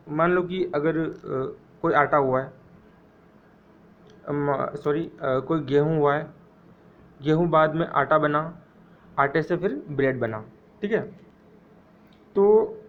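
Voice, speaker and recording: A man teaching an exam-prep economics lecture, repeating key phrases slowly so students can memorize them. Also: a little high, narrow, tinny audio.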